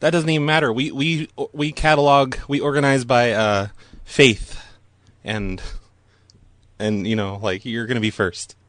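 A man's voice: mid-range voice, fairly even intonation, a little slow, a bit bright, no echo. A man making a prank phone call, playful and teasing, keeping up a mock-earnest act. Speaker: prank caller.

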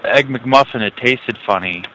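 A man's voice: medium pitch, moderate pace, sharp tone, rough recording. A man talking casually, with a crude, sweary manner. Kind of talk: casual chat. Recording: faint background noise.

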